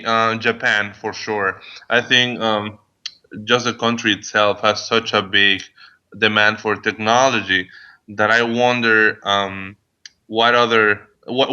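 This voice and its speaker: A man's voice: lowish voice, sharp tone, smooth, dull audio. A man in his thirties from Venezuela, a podcast listener answering questions, hesitant and full of ums and restarts. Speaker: guest listener. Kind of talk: casual podcast Q&A.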